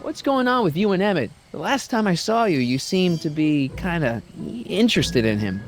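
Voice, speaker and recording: A lively, casual teenage boy. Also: on the high side, normal speed, soft background music. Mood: curious, awkward. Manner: casual, slangy, slightly hesitant. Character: casual, earnest teenage boy adventure hero. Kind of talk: video game character acting.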